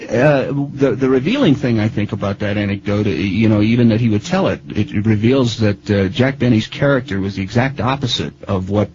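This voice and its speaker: A man conducting a conversational interview, phrasing his questions and comments in a tentative, halting way. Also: lowish voice, darkish tone, muffled recording. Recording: faintly noisy archival interview tape.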